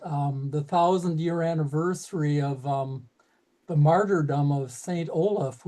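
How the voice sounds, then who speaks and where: An older man talking over a video call to a club meeting, telling history in a thoughtful, halting way with many pauses. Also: high-pitched, mellow, rough recording. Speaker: guest speaker at a club meeting. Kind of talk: casual talk, telling history.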